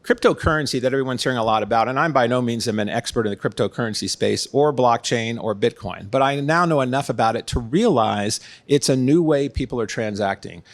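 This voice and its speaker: A middle-aged man with an American accent, speaking as an interview guest in a conversational, explanatory way. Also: mid-range voice. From United States, San Diego, California. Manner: explanatory, uses everyday analogies. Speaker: guest, real estate consultant and former executive.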